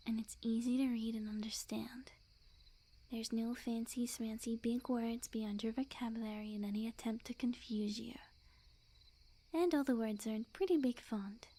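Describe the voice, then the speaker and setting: Girl voicing a clingy smug witch for asmr, kinda high soft breathy voice, super slow n teasing. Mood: sweetly coaxing. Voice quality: soft, breathy, often whispered. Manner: slow, coy, teasing, overconfident. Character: sweet, smug, possessive lovestruck young witch. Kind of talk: ASMR roleplay, scripted, addressed to the listener.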